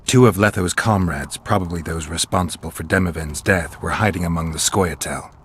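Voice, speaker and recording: Gruff gravelly monster hunter from a video game, low and deadpan, faint noise behind him. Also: male voice, fairly quick. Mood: grim, matter-of-fact. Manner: terse, dry, deadpan.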